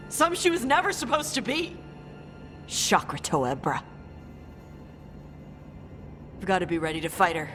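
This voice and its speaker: A woman's voice: fairly high pitch, fairly bright tone. A woman voicing an intense, driven and self-doubting young dragon slayer in a video game. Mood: angry, determined. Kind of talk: dramatic video game dialogue. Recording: long reverb, faint music.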